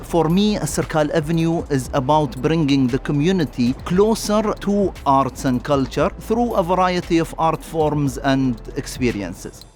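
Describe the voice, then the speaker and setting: A man's voice: fairly high pitch, lively intonation, unhurried, hardly any pauses, a little mellow, faint music behind. A man speaking as an arts founder in a documentary interview. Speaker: art hub founder.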